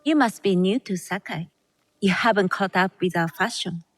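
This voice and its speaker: A woman's voice: medium pitch, moderate pace, no echo, natural voice. Woman acting a haughty, catty noblewoman, dry sound. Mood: mock-polite. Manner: condescending, mock-polite. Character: haughty, catty, snobbish noblewoman from a rich family. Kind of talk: video game cutscene acting.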